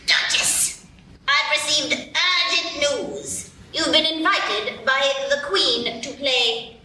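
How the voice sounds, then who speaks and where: Stage radio play: a woman as a slow, literal-minded frog servant, bright and lively voice, echoey hall. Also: high-pitched, slight background noise, exaggerated voice. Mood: pedantic. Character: pedantic, dull-witted male frog footman. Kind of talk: live radio play acting. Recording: echoey hall, distant mic.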